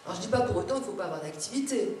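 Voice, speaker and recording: A woman's voice: medium pitch, lively intonation, racing, dark tone. A woman giving a public lecture to elected officials, explaining things with informal asides. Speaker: conference speaker, local government adviser. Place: large echoing indoor seminar room. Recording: very echoey room, distant mic, background noise.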